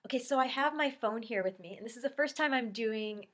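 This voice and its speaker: A woman's voice: a little high, a little fast, a bit bright. Congested woman, chatty and rambling. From Canada. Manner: chatty, rambling, many ums and you-knows. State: congested from a cold. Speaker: YouTube vlogger. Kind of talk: casual Q&A chat to camera.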